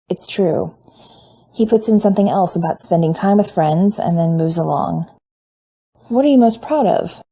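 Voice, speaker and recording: A woman reading fan fiction aloud in a measured, intimate storytelling manner. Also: on the low side, warm tone. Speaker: podfic reader. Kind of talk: fan fiction audiobook narration.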